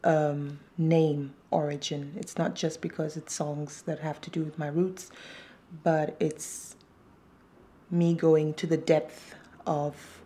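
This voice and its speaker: A woman whose first language is Yoruba, a singer-songwriter talking thoughtfully in an interview, pausing with fillers and restarts. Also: low voice, flat delivery, warm tone, a little hiss or hum. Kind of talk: interview talk.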